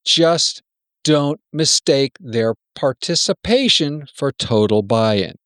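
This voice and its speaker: Give the part of a guarded software executive to a man acting it out. He is blunt and persuasive, always cautioning, with pitch that moves around a lot. The radio-play dialogue is recorded close and dry. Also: lively intonation, slow, no room sound. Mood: guarded, advising. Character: wary, self-protective male senior software executive.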